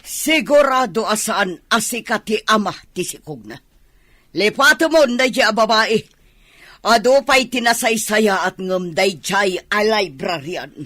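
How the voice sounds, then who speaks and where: Haughty middle-aged Ilocano mother, played by a woman, scornful and forceful, unhurried delivery, radio drama. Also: mid-range voice, lively intonation, no echo.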